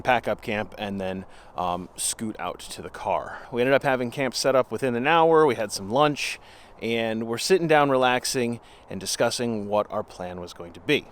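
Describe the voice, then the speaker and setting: Male outdoor storyteller, average pitch, off-the-cuff and slangy, recorded with noticeable ambient noise. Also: measured pace. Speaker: outdoor vlogger telling a story. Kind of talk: casual, unscripted storytelling to camera. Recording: clear ambient background noise.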